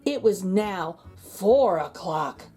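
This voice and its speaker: A woman reading a children's storybook aloud in an expressive, emphatic way. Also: medium pitch, slow pace, husky, soft background music. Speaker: children's book reader. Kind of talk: storybook read-aloud narration.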